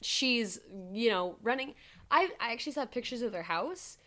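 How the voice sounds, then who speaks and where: Brief: young woman with a high voice, casual true-crime podcast co-host, filler-heavy and chatty. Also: animated, normal speed, a bit bright.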